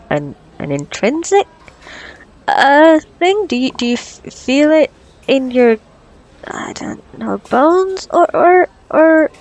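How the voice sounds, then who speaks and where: A woman playing a flustered, curious doctor on a date in an ASMR roleplay, stammering nervously and haltingly. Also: sits high, darkish tone, exaggerated voice. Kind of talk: ASMR roleplay audio drama.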